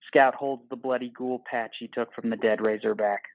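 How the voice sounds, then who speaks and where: A man's voice: mid-range voice, steady tone, moderate pace, creaky, dry recording, muffled recording. A man narrating an audio play from a script in terse, dramatic, clipped phrases.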